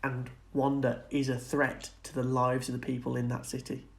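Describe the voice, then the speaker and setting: A man's voice: medium pitch, monotone, moderate pace, gravelly, a little hiss or hum. A man co-hosting a podcast, chatting casually and earnestly as he speculates about film and TV. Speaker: podcast co-host.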